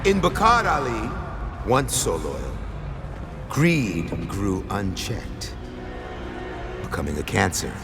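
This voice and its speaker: An actor playing a menacing, grandiose crime lord in a game cutscene, speaking with pompous, theatrical deliberation. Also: male voice, fairly low pitch, wildly swooping pitch, slow pace, vocal fry, long pauses, music in the background, heavily acted. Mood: ominous, theatrical. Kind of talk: dramatic villain monologue in a game cutscene.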